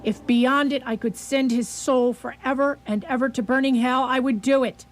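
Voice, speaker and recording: Woman as a high-voiced, vengeful vampire hunter. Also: steady tone, normal speed, background noise, natural voice. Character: grim, vengeful vampire hunter, bitter and resolute. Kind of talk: radio theatre acting. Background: loud music.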